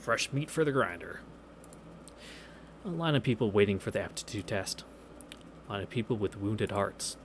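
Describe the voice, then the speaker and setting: A man's voice: fairly low pitch, lively intonation, moderate pace, pauses often, slight background noise. A man hosting a visual novel read-through, reading narration aloud with wry, casual asides over game music.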